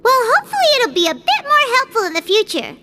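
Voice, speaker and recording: A woman voicing a small, childlike fairy companion in a video game, chatty, excitable and curious. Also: fast, over loud music, heavily acted. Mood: excited. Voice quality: squeaky, childlike. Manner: excitable, refers to herself in third person. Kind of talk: animated video game dialogue.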